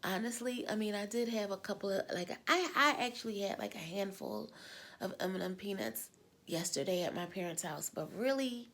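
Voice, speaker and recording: A middle-aged woman with a husky voice, coming down with a cold, talking casually and rambling in her vlog. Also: medium pitch, expressive, normal speed, a bit bright. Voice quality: husky smoker's-like voice. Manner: rambling, repeats words, sighs often. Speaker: vlogger. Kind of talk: casual personal vlog talk.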